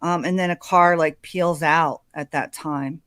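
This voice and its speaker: A woman's voice: deep voice, fairly even intonation, unhurried, a bit bright, dry recording, studio quality. A woman doing casual true crime commentary in a hesitant way, with many ums and uhs.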